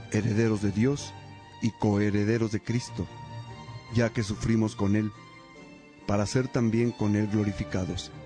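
A man playing a devout monk in a radio drama, praying and reading scripture in a solemn, measured way. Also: on the low side, mellow, close to an everyday voice. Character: devout monk, friendly brother in community.

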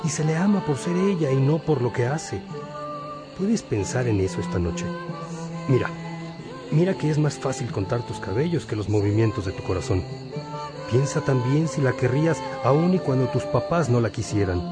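An actor playing a wise, patient priest and teacher in a religious radio drama, gently questioning and instructing. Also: male voice, mid-range voice, animated, normal speed, natural voice. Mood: playful, probing. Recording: music behind the voice.

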